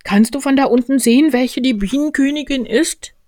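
Casting: woman as a male toy bear for a storybook, high-voiced, naive, ponderous and earnest, close dry sound. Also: normal speed, a little mellow, no room sound, close to an everyday voice. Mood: earnest, scheming. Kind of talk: storybook character voice.

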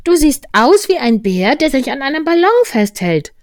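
A woman voicing a bright, innocent little boy from a storybook in an eager, direct, childlike manner. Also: high-pitched, very animated, normal speed, dry recording, clean studio sound, voice pushed up. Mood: excited, frank. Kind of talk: storybook character voice.

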